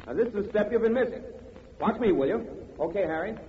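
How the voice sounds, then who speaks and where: An actor in an old radio drama playing an exasperated show director, barking slangy orders at a chorus. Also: male voice, high-pitched, lively intonation, quick pace, dull audio. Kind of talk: 1950s radio drama acting. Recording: echoey hall, loud music, old radio.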